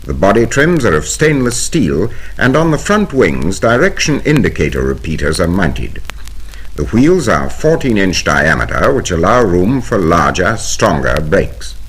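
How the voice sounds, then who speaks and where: Casting: British male narrator for a vintage training film, lowish husky voice, formal and precise, 1970 vinyl record sound. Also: very animated, fairly bright tone. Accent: British English. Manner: formal, precise, measured explanatory delivery. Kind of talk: scripted instructional narration.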